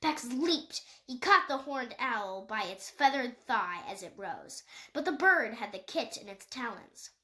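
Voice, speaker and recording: A woman's voice: fairly high pitch, animated, unhurried, sharp tone. A woman reading a children's animal novel aloud as scripted storybook narration. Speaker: audiobook reader.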